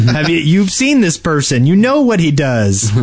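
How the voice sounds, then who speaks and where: A man's voice: fairly high pitch, a little mellow, breathy edge. A man bantering casually as a co-host on a gaming podcast.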